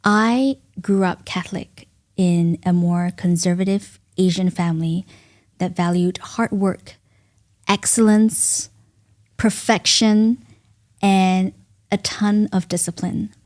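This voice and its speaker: A woman with a Singaporean accent hosts a solo podcast in a studio, speaking earnestly and reflectively in deliberate phrases with pauses. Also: mid-range voice, fairly even intonation, a little mellow. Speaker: podcast host.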